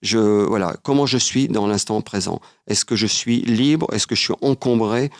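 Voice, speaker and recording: Low, creaky-voiced man, halting and reflective. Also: no echo, studio quality. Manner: halting, repeats words, philosophical and earnest. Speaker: interview guest, travel writer. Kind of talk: reflective conversational interview.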